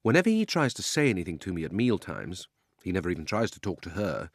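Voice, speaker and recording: A man voicing a miserable, grubby young boy who is loud and aggrieved one moment and hushed and secretive the next. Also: deep voice, very animated, quick pace, no room sound, studio quality, exaggerated voice. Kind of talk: storybook character voice.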